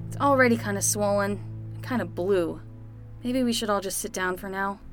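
A woman's voice: mid-range voice, moderate pace, over music, natural voice. A woman playing an anxious, bossy young summer nanny in a fiction podcast, quippy yet hesitant. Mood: uneasy.

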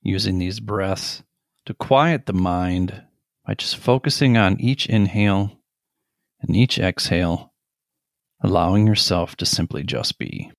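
A middle-aged man leading a guided meditation in a gentle, deliberate manner with long pauses. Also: deep voice, creaky, dry recording, clean studio sound.

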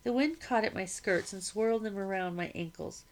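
A woman reading a novel aloud as a narrator, with a measured delivery. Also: medium pitch, low-quality recording. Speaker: audiobook reader. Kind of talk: scripted story narration.

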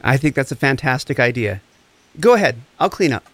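Fiction podcast mentor: easygoing, supportive male therapist, average pitch, earnest scripted read. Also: sing-song, a little fast, a bit bright, no room sound. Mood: amiable. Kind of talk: audio drama acting and scripted appeal to listeners.

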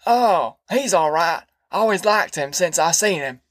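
A woman's voice: fairly low pitch, lively intonation, unhurried, dry recording, clean studio sound, pitched above the performer's own voice. A woman voicing a boastful, cussing old grandfather with a folksy rural drawl. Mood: rowdy.

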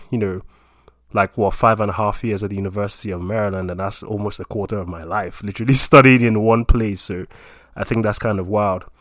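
A man's voice: on the low side, dry recording, clean studio sound. A young man from Nigeria in his twenties, chatting casually about himself with lots of fillers. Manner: relaxed, rambling with many fillers.

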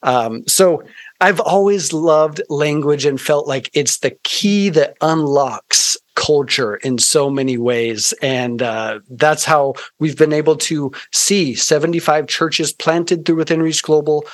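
A man's voice: on the high side, takes it slowly, no room sound. An American man speaking earnestly and articulately, telling stories in a conversational interview with touches of preaching. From United States (Arizona). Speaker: guest, missionary organization founder.

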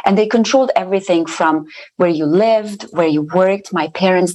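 A woman's voice: deep voice, rough recording. A woman from Romania giving an earnest faith testimony as an interview guest. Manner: earnest, steady storytelling.

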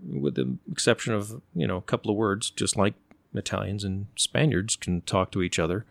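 A middle-aged man from the United States, telling stories in an unhurried, thoughtful way and pausing to find his words. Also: lowish voice, creaky, no echo. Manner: unhurried, thoughtful, often pauses to search for words. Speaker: interview guest. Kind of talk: relaxed conversational storytelling.

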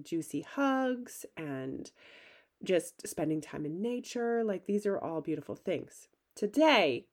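An enthusiastic woman coach talks through her own podcast episode, her voice roughened slightly by a cold. Her pitch swings widely as she chats, casual and encouraging. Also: darkish tone. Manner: upbeat, enthusiastic, conversational. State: has a cold, slightly gravelly. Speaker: podcast host and life coach. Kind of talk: solo podcast monologue.

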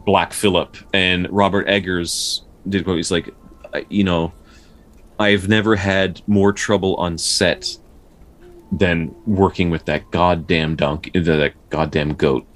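A man's voice: low voice, steady tone. A man talking casually and explaining folklore as a podcast co-host, often stumbling and repeating words as he goes. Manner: halting, with repeated words and stutters. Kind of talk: casual explanatory discussion of folktales.

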